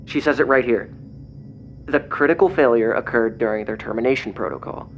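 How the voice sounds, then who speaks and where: A man playing an agitated investigator in an audio drama, speaking in a grave, halting, intense way over a phone. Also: mid-range voice, close to an everyday voice. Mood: grave reading. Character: agitated male investigator relaying grim secret documents by phone. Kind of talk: audio drama acting, partly reading documents aloud. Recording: dull lo-fi phone call, clear noise.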